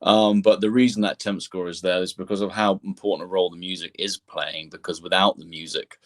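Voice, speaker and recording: A man's voice: low voice, level tone, a little fast. A man giving discursive explanations as an interview guest, often restarting and repeating words as he goes. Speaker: composer and sound engineer, interview guest.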